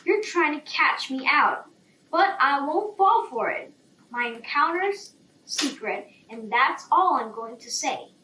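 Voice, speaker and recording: Woman voicing a secretive, worried elephant, high-pitched. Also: lively intonation, takes it slowly, bright, forceful tone, exaggerated voice. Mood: suspicious. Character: worried, secretive, blustering male elephant with wrinkled trunk. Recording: roomy, distant, faint background noise.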